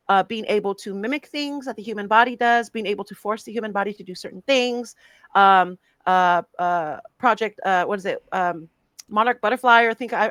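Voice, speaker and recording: A woman's voice: medium pitch, fairly bright tone, no room sound. A woman co-hosting a casual film podcast, talking animatedly with frequent laughs and many restarts. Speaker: podcast co-host. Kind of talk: casual podcast chat about films.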